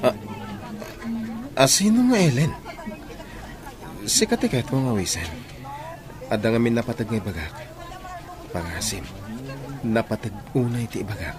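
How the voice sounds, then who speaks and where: A man's voice: mid-range voice, sing-song, moderate pace, pauses often, a crowd in the background, natural voice. A man playing an earnest, reassuring young seaman in a radio drama, with an Ilocano Filipino accent. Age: young adult. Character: earnest young seaman, devoted son and suitor.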